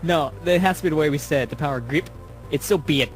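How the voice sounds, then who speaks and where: A man's voice: a little high, fast. A man co-hosting a let's play, chatting casually with a teasing, joking manner. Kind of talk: casual gaming banter. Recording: voice over game music. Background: video game music.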